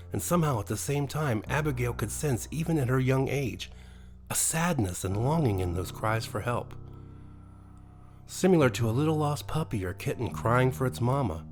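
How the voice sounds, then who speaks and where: Male horror narrator: dramatic bedtime-story read, lively intonation, music underneath. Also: mid-range voice. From USA.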